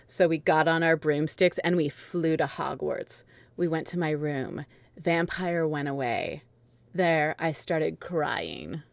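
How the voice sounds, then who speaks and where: A woman's voice: deep voice, lively intonation, takes it slowly, gravelly, no echo. A woman narrating a comic dramatic reading of fan fiction, with casual asides, as host.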